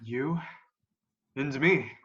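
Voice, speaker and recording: A man's voice: medium pitch, extremely slow, bright, forceful tone. A young man playing a bitter, power-hungry artilleryman in a radio play, recorded over a dull video call. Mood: scheming, fervent. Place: room at home, video call.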